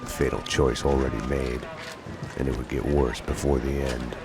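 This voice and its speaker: A brooding noir detective, with an extremely low voice. He narrates grimly and slowly, weighed down by guilt and weary of the world, in video game monologue. Loud music plays behind him. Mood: brooding, fatalistic. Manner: slow, grim, deadpan, poetic. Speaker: narrator and protagonist.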